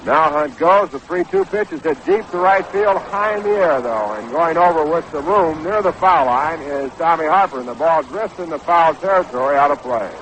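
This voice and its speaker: An American man calling baseball play-by-play on the radio in a measured, descriptive way. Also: on the high side, sing-song, fairly bright tone. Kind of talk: live radio sports commentary. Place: ballpark broadcast booth. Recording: noisy 1960s AM radio broadcast.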